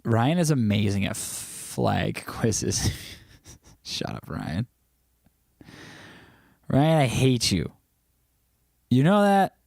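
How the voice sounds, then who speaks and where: A man's voice: mid-range voice, sing-song, extremely slow, gravelly, pauses often, darkish tone, dry recording, studio quality. A man hosting a casual livestream, chatting loosely and teasing his viewers as he reads their comments aloud. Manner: rambling with frequent restarts. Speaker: livestream host.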